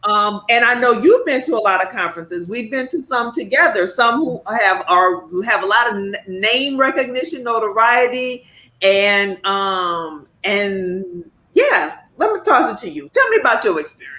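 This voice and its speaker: A woman's voice: on the high side, animated, moderate pace, bright, forceful tone. A woman hosting a casual chat, speaking informally to her guests. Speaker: host. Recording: echoey room sound.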